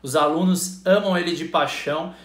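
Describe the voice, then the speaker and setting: A man's voice: on the high side, fairly even intonation, normal speed, fairly bright tone, echoey room. A Brazilian man hosting an online interview in an enthusiastic, informal, slangy way. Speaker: interview host, physical education professional.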